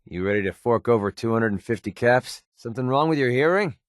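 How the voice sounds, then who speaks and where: Game companion role: male actor as young male mercenary, wry and prickly with a soft side, conversational, expressive, medium pitch, dry studio sound. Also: a little fast, no echo, natural voice. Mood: cocky, wry. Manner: sarcastic, conversational, emotionally expressive. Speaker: video game companion character. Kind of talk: naturalistic video game voice acting.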